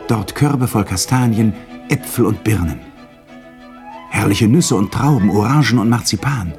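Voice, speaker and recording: A man's voice: on the low side, darkish tone. A man giving scripted storytelling narration as the narrator of a 1960s radio play.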